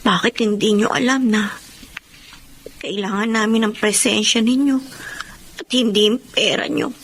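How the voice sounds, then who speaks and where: A woman's voice: fairly high pitch, unhurried, a little hiss or hum. A woman playing an aggrieved, stubborn teenage daughter in a Filipino radio drama. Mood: sullen. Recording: dramatic music bed behind the voice.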